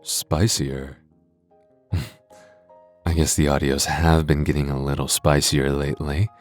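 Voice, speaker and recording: A man's voice: very deep voice, darkish tone, exaggerated voice. A man playing a coy, flirtatious masked host who addresses the listener in playful ASMR roleplay.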